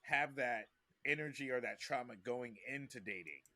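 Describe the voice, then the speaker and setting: A man with a very sharp, bright voice at a middle pitch chats casually on a podcast. He is emphatic, circling back and repeating his phrases to press the point. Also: normal speed.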